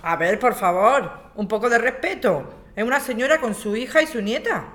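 A woman's voice: fairly high pitch, animated, moderate pace, a bit bright. A woman with an accent from Spain playing a conciliatory housing lawyer who reasons and appeals in a radio drama. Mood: pleading. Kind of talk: acted community radio drama.

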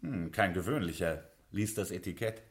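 An actor playing a smooth-talking Prohibition gangster boss in a 1950s radio crime play, persuasive and jovial. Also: male voice, deep voice, lively intonation, moderate pace.

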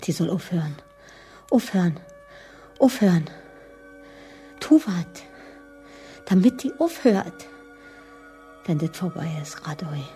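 A woman playing a frightened, distraught wife in a radio drama, with a breathy, husky voice. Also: on the low side, animated, slow pace, long pauses, mellow, soft background music. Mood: desperate. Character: frightened, distraught wife hiding in her flat. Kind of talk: German radio drama acting.

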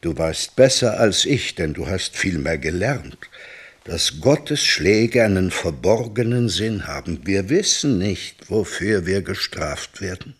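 Husky, pious, worried neighbour. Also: male voice, deep voice, lively intonation, slow pace, exaggerated voice. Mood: concerned, admonishing. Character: pious, worried neighbour man admonishing his friend. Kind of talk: radio play acting.

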